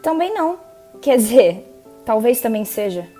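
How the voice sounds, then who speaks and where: Tired anxious young patient, kinda high, mellow, hesitant. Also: female voice, very animated, normal speed, faint music behind. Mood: weary. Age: teens. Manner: hesitant, unsure replies. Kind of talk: audio drama acting.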